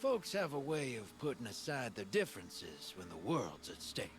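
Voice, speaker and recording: Easygoing rogue, wry, folksy, very lively pitch swings, casual video game chatter. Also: male voice, moderate pace, noisy background. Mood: wry, musing. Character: easygoing, wry roguish drifter man, folksy and knowing. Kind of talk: scripted video game dialogue.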